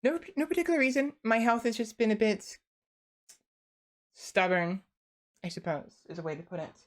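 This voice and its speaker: A woman's voice: on the high side, very animated, normal speed. A young woman streams from her desk, tired and chatting freely with her viewers. She rambles, restarts her sentences and leans on fillers as she talks. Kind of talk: casual chat to stream viewers.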